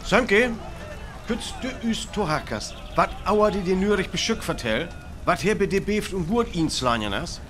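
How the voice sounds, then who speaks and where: A man's voice: high-pitched, lively intonation, normal speed, a bit bright, slight background noise. A man playing an urgent, probing radio reporter giving a dramatic live report in a radio play. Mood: brisk, probing. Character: excitable male radio reporter at a crash site.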